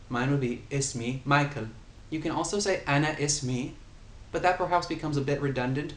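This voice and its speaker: A man giving a casual language lesson, patiently explaining and repeating example phrases. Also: mid-range voice. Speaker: language tutor. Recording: echoey room, faint ambient noise.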